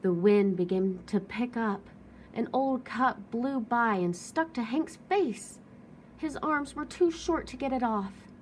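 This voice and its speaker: A woman reading a children's storybook aloud as an expressive narrator. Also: on the high side, slow pace, a little hiss or hum.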